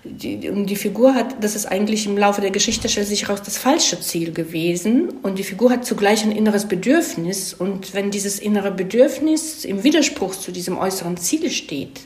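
A woman's voice: mid-range voice, moderate pace, hardly any pauses, a little mellow. Woman explaining casually in an echoey room. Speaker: screenwriter being interviewed.

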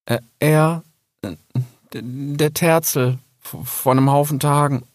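A man's voice: fairly high pitch, a little slow, dry recording, clean studio sound, voice pushed up. A man voicing an earnest, cautious young male animal in a storybook, his voice raised well above his own. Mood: halting.

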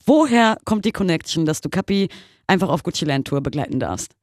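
A woman hosting a radio interview, lively and chatty, with frequent laughs. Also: lowish voice, brisk, dry recording, clean studio sound. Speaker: radio show host.

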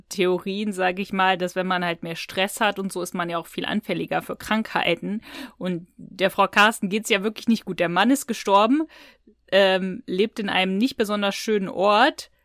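A woman's voice: medium pitch, a bit bright, no echo, studio quality. A woman co-hosting a podcast, giving frank opinions in casual, colloquial chat about audio dramas. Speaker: podcast co-host.

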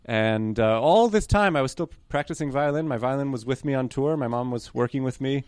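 A man's voice: medium pitch, brisk, darkish tone, clear, resonant tone, no room sound. A young man from New York telling his story in a chatty interview, often correcting himself and adding apologetic asides. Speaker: guest conductor candidate.

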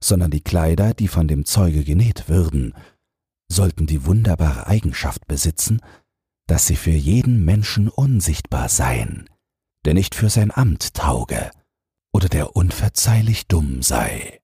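Guy reading a bedtime fairy tale, super deep n mellow, slow, husky, close dry sound. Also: lively intonation, no room sound. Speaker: audiobook narrator. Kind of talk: scripted fairy-tale narration, bedtime storytelling.

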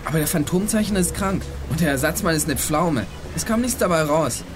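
Man acting a deadpan young detective over music. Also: on the high side, brisk, lightly acted. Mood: dry, joking. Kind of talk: German audio drama acting. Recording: radio play clip with music underneath.

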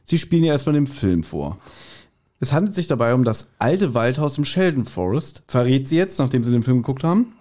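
A man's voice: medium pitch, very animated, fairly quick, warm tone. A man, a podcast co-host chatting casually as he retells a story and slips into short acted quotes. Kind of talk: casual podcast chat retelling an audio drama episode.

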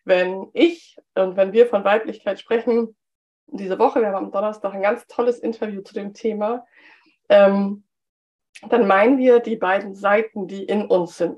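A woman talks through a solo podcast episode, her voice slightly hoarse from a cold. She speaks at an ordinary mid pitch and chats along with plenty of "ähm"s. The recording sounds a bit dull and lo-fi. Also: a little mellow. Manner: chatty, with frequent "ähm" fillers. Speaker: podcast host. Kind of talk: solo business podcast talk.

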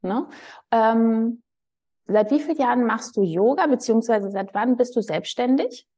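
A woman's voice: a little high, animated, moderate pace, mellow. A woman hosting a podcast, interviewing her guest in an enthusiastic, chatty way. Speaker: podcast host. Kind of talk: casual interview.

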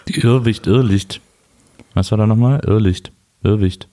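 A man's voice: on the low side, moderate pace, warm tone. A young man co-hosting a podcast, easygoing and jokey, telling stories with plenty of fillers. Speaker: podcast co-host. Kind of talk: casual podcast chat, telling anecdotes.

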